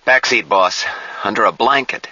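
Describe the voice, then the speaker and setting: An uneasy handyman voiced by a male narrator, pitched above the narration with a bright, forceful edge. He talks in informal slang and defers to his boss, in a story read aloud. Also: moderate pace, dry recording, dull audio. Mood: deferential.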